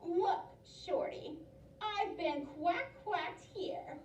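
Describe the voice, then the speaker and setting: A woman's voice: very high voice, very slow, darkish tone, dull audio, a little hiss or hum, exaggerated voice. A woman voicing a cranky, screeching female witch who flies a broomstick and hurls insults, with a cackling, bossy manner. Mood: grumbling. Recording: roomy, distant mic.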